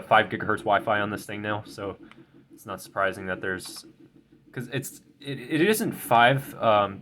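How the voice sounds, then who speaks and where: A man's voice: on the low side, a bit bright. Tired, stuffy-nosed young man unboxing a phone. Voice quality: slightly nasal. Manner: rambling, self-correcting, many ums and stumbles. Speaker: tech video creator. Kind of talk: casual unboxing commentary. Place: desk at home, indoors.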